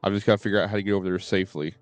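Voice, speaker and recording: A man streaming a game and chatting casually, halting and often repeating himself. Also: deep voice, steady tone, no room sound, soft background music. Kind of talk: casual live stream chat.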